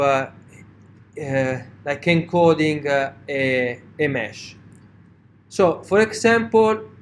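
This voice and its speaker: A man with a strong Italian accent gives a university lecture in a slightly raised voice. His delivery is halting, full of "uh" and "like", and he keeps checking in with "right?". Faint noise hums under the recording. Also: fairly high pitch. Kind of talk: classroom lecture.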